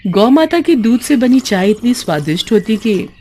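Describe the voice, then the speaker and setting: A woman narrating a scripted moral story in an expressive, emotive, dramatic way. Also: a little high, normal speed, husky.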